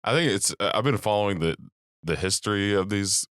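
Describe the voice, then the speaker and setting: A man's voice: on the low side, lively intonation, a little fast, a bit bright, clear, resonant tone, no room sound, clean studio sound. A young man co-hosting a movie podcast, laid-back and joking, often trailing off mid-sentence. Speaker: podcast co-host. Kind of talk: casual movie podcast chat.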